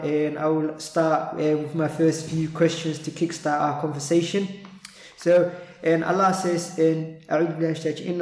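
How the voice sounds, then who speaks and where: A man's voice: fairly high pitch, a little mellow. A man hosting a podcast interview, courteous and devout, sprinkling his speech with Arabic religious phrases. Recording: roomy, echoing room sound.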